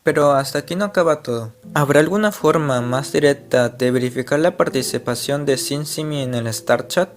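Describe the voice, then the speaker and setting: A man's voice: a little high, moderate pace, few pauses. A man narrating an internet mystery video in a scripted, explanatory way, asking the viewer rhetorical questions. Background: faint guitar music.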